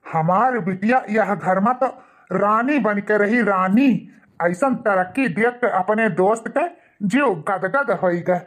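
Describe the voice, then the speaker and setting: A man's voice: high voice, unhurried, fairly bright tone. A man voicing an elderly, humble village father in a cartoon, with an Awadhi accent and a hearty, folksy manner. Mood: delighted, proud. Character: elderly poor village father, cheerful, humble, devoted old friend. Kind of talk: Hindi cartoon family drama dialogue in Awadhi.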